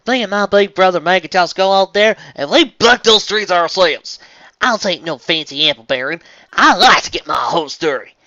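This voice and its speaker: A man voicing a blunt, hardworking farmer pony mare with a loud Southern drawl, reading a fan story aloud. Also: sits high, no room sound, muffled recording, pitched above the performer's own voice, full character voice. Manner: loud twangy drawl, impassioned.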